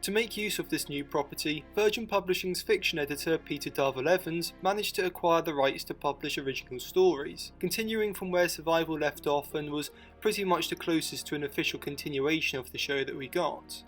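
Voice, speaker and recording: A man's voice: fairly high pitch, fairly quick, few pauses, a bit bright, soft background music. A man reading scripted narration as the narrator of a documentary.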